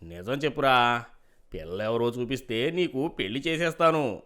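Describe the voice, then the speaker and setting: A man's voice: mid-range voice, animated, moderate pace, fairly bright tone, natural voice. Man acting a patronizing, scheming village headman. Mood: coaxing. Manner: patronizing, coaxing, rustic village speech. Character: scheming village headman, fake-kind older widower, patronizing. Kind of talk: moral story character dialogue.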